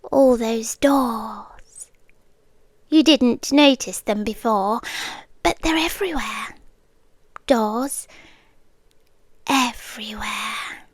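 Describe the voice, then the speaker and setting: A woman voicing a sweetly menacing hive queen in an ASMR roleplay, talking softly and drifting in and out of whispers. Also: high voice, no room sound. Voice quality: soft, slow, drifting between whisper and voice. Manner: coaxing, intimate, quietly threatening.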